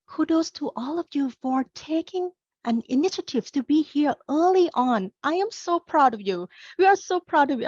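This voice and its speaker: A woman's voice: on the high side, darkish tone, breathy edge, no room sound, lo-fi sound. A middle-aged woman from Thailand, a career coach presenting a webinar, speaking in an upbeat, encouraging way straight to her audience.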